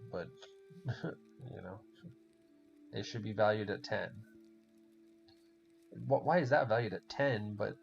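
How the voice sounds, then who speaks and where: Gravelly man with a German accent. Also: mid-range voice, darkish tone. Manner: rambling, swears often, laughs a lot. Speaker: podcast host playing a game. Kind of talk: casual chat while gaming. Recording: dull lo-fi recording with faint music.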